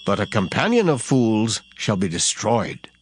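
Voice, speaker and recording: A man's voice: lowish voice, very animated, measured pace. A man reading Bible verses in an emphatic, formal, dramatized style. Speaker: scripture reader. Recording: music behind the voice. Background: background music.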